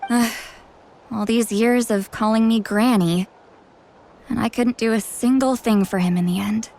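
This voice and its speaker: Tribe mentor lady in a game, kinda high youthful voice, frank and protective, sure of herself. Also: fairly even intonation, measured pace, warm tone, a little hiss or hum. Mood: regretful, fond. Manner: candid, informal, self-assured. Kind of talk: video game voice acting.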